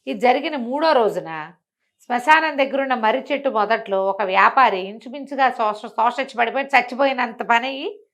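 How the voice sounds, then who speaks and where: A woman telling a bedtime story in a conversational, expressive way, with rhetorical questions and acted-out exclamations from villagers. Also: a little high.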